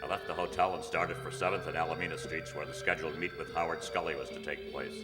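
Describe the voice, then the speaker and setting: A man playing a terse undercover police detective in a radio crime drama, matter-of-fact and deadpan, recorded in an echoey hall. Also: a bass voice, fast. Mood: deadpan, methodical. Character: terse undercover police detective narrating his own case. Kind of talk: clipped radio crime drama narration and dialogue. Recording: echoey hall, loud music behind.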